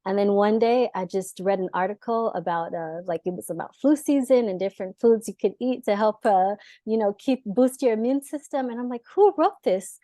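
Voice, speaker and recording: A young adult woman, born in the US and raised in Israel, chatting as a dietitian guest in a lively way, full of fillers and laughs. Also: medium pitch, moderate pace, few pauses, no room sound, clean studio sound. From born in the US, grew up in Israel. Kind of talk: casual interview talk.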